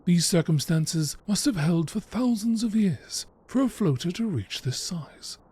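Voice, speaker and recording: A man reading scripted nature documentary narration in a measured, expository manner. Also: high-pitched, animated, darkish tone, breathy edge. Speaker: narrator reading game text.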